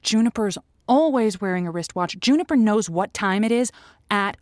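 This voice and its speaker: A woman's voice: medium pitch, lively intonation, normal speed, no echo, natural voice. A woman playing an earnest, encouraging radio advice host in chatty, improvised talk. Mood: upbeat, helpful. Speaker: host of a call-in advice show.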